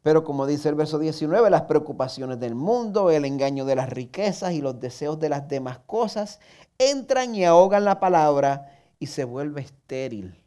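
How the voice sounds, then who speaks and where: A man's voice: a little high, expressive, moderate pace, warm tone, clear, resonant tone. A man with a Puerto Rican accent, preaching a sermon in an earnest way and putting questions straight to the listener. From Puerto Rico. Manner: self-mocking humor. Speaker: pastor.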